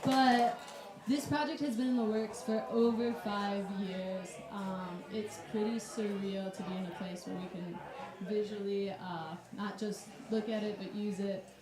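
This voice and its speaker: A woman's voice: medium pitch, fairly even intonation, unhurried, few pauses. A woman hosting a live artist talk in a club, nervous and self-deprecating, with lots of fillers. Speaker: host. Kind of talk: casual live panel moderating. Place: club room with audience. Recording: echoey room, distant mic, crowd.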